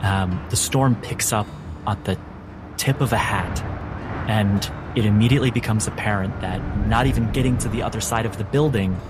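A man as game master, narrating scenes in a measured, descriptive way with dramatic pauses. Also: on the low side, background noise. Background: music.

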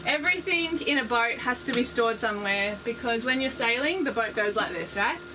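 A woman's voice: a little high, expressive, brisk, noisy background. Chatty upbeat woman on a boat. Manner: chatty and upbeat, explaining gear with frequent ums. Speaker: vlogger giving a boat tour. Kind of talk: casual walkthrough vlog. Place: small cabin of a sailboat, indoors. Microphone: handheld camera close by.

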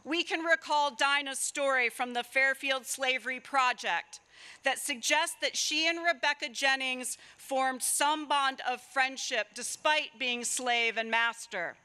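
A middle-aged woman delivers a formal keynote from an outdoor lectern microphone. Her voice sits high and bright, and she reads in a measured, deliberate way with rhetorical pauses. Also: clean tone. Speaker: keynote speaker, political scientist. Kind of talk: formal prepared speech read at a lectern. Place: outdoors at a stone university building, ceremony.

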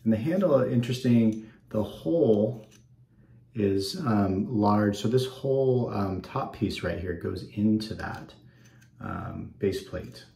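40s guy a bit stuffed up, low gravelly voice, rambly ums, echoey bathroom. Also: slow, dark tone. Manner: rambling, many ums, easygoing. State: slightly stuffed up. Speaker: wet shaving channel host. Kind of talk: casual talk to camera. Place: small bathroom at home, indoors.

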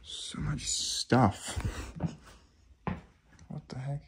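Slow-paced man muttering casual reactions. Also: medium pitch, a bit bright, a little hiss or hum. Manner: murmured short reactions, thinking aloud. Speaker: vlogger exploring an abandoned house. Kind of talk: casual off-the-cuff exploration commentary. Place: indoors, inside rooms of a house.

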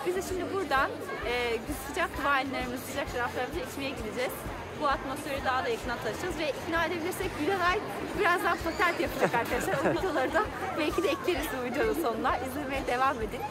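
Excited young woman vlogging a busy outdoor market. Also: sits high, very fast. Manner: excited, chatty, keeps addressing viewers. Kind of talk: casual travel vlog. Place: outdoor Christmas market at night. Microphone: handheld camera held at arm's length. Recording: loud music and crowd outdoors.

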